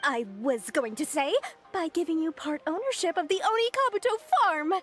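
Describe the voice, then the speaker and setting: An actress voicing a sweet young village damsel in a video game, theatrical and pleading. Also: female voice, very high-pitched, moderate pace, faint music behind, full character voice. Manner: theatrically tearful, pleading, flattering. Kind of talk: exaggerated video game voice acting.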